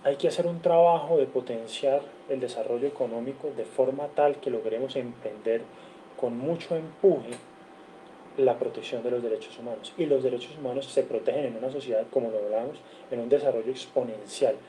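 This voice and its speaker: Colombian male guest lecturer, deep warm dark tone, earnest, reels off numbers, faint background hiss. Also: fairly quick, rough recording. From Cali, Colombia. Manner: earnest, lists figures, frequent self-corrections. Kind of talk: online seminar lecture.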